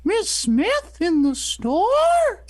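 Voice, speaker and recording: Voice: very high-pitched, huge pitch swings, drawn-out words, no echo, studio quality, full character voice. A performer voicing a melodramatic, anguished male shop clerk in a hammy 1940s radio play style, wailing and exclaiming. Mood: anguished, indignant.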